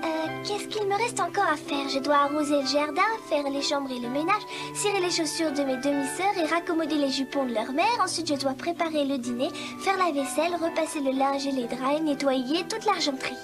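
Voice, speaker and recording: Woman playing a very high, sweet cartoon maiden. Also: fairly quick, close to an everyday voice. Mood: busy, cheerful. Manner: gentle, earnest. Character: sweet, patient, hard-working young servant girl. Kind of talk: dubbed cartoon acting. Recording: music under the voice.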